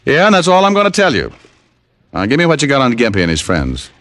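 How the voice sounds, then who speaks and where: An actor playing a cocky, wisecracking private detective with a wry, sardonic manner in old-time radio style. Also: male voice, mid-range voice, huge pitch swings, fast talker, a bit bright, no room sound. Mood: businesslike. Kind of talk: 1940s-50s radio detective acting and first-person narration. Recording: old radio recording with music.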